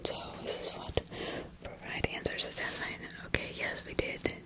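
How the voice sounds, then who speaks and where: A woman ASMR host performing an improvised, halting roleplay in whispers.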